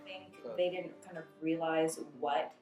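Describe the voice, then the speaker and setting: A woman's voice: low-pitched, steady tone, unhurried, distant mic. An American woman from New York telling a personal story casually in an interview. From United States. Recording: roomy echo, guitar music underneath. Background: soft guitar music.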